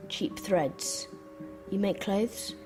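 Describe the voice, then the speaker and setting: A young British schoolboy in an audio drama. He is guarded and obsessive, giving short, evasive answers. The voice sits at an ordinary pitch for a woman, over clear music. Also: drawn-out words. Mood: hesitant, insistent. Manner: terse, evasive.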